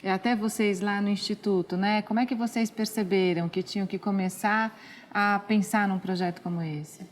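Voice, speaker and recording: A woman's voice: mid-range voice, steady tone, moderate pace. A woman co-hosting a TV talk show, asking guests questions in a conversational way. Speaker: TV show co-host.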